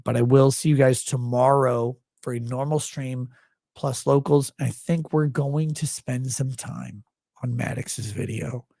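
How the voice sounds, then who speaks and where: A tired man with a gravelly voice, hosting a livestream and signing off in a rambling, blunt, profane way. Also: medium pitch, darkish tone, no room sound, clean studio sound. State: tired, low on sleep. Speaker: livestream host. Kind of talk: casual livestream sign-off chat.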